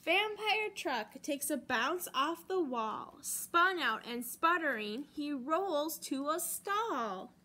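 High-pitched American woman reading a storybook. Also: very animated, takes it slowly, few pauses, sharp tone. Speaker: librarian storytime host. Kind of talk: expressive picture-book read-aloud for children. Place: library children's room, indoors.

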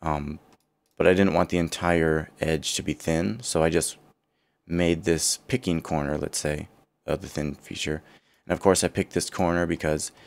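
Deep, gravelly male tutor with a worn-out voice, calm self-correcting software walkthrough. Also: normal speed. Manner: thinking aloud, self-correcting. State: partly lost voice, low and rough. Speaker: CAD tutorial instructor. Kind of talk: screen-recorded software walkthrough.

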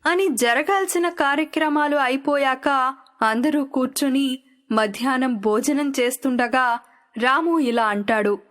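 A woman's voice: high-pitched, unhurried, a bit bright. A woman narrating a scripted moral story as a storyteller, with music underneath.

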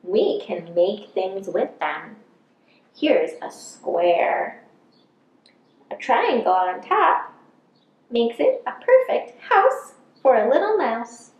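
A woman voicing eager, clever little mice from a children's storybook, with emphatic, drawn-out words. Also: on the high side, big pitch swings, darkish tone, lo-fi sound. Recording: roomy indoor echo.

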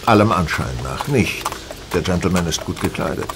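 A man playing a brilliant, self-assured gentleman detective in a German audio drama, explaining things deliberately with casual superiority. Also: sits low, expressive, creaky, background noise. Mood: dryly confident.

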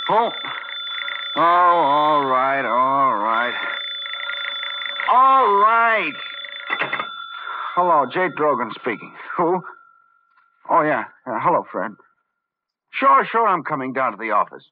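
An actor playing an irritable, hungover, reckless man with a halting, blustery manner in an old-time radio drama. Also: on the high side, very animated. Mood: groggy. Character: irritable, hungover, reckless male office worker. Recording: tinny, hissy old radio recording.

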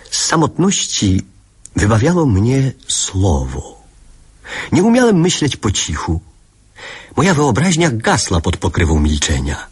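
A man's voice: lowish voice, breathy edge, full character voice. A man playing a reflective narrator recalling his boyhood in a lyrical, literary radio drama. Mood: wistful reflective.

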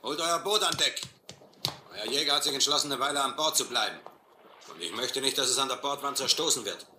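An actor playing a domineering, cunning seal-schooner captain in a radio play, with a commanding, coolly menacing manner. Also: male voice, medium pitch, fairly quick, bright, forceful tone. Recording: echoey, noisy old radio play recording.

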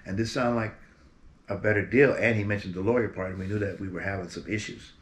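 A man in his fifties from Berkeley, California, a musician guest telling stories from his career in a relaxed, animated way, seated in front of a green screen. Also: lowish voice, quick pace. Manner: relaxed, animated storyteller with lively quoted voices. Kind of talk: casual storytelling interview. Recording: roomy echo with faint background hiss.

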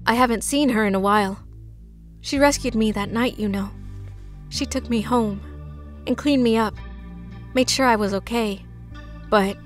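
Woman acting high-voiced, intense, insistent young woman. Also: normal speed, long pauses, lightly acted. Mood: guarded. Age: young adult. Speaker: voice actor in a comic dub. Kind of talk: dramatic dialogue. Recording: music behind the voice.

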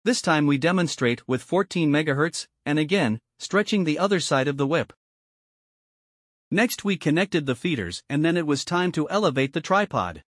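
A man narrates a product demonstration in a scripted, step-by-step instructional style. His voice is slightly high, recorded close and dry as a voice-over. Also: lively intonation, normal speed, a bit bright, dry recording.

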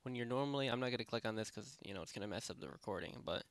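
A man narrating a software tutorial in a casual, unscripted, rambling way, speaking informally with lots of filler phrases. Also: medium pitch, very fast, clean tone, no echo. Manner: rambling, informal, repeats "basically" and "you know". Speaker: tutorial presenter. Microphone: close headset microphone.

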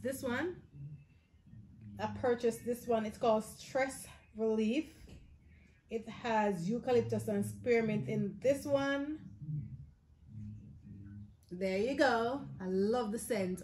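A woman's voice: a little high, slight background noise, rough recording. A woman in her thirties with a Jamaican accent, chatting casually to camera in Patois-inflected phrasing as a lifestyle vlogger.

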